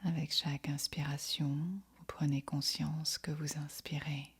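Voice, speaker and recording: A woman guiding a yoga nidra meditation in a breathy, whispery, husky voice, speaking softly and soothingly with long pauses. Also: deep voice, little pitch movement. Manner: soft, soothing, unhurried instruction with long pauses. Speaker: meditation guide.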